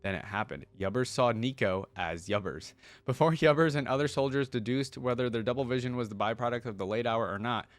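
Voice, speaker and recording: Man, reaction host, animated story reading, casual asides, dry sound. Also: medium pitch, fairly quick, no echo.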